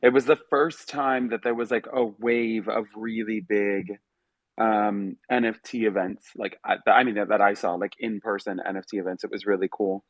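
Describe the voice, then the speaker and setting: Young male host, fairly low voice, dry close mic, friendly rambling chat with lots of fillers. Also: steady tone, moderate pace, dry recording. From Miami. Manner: chatty, upbeat, lots of fillers. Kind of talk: casual live audio chat.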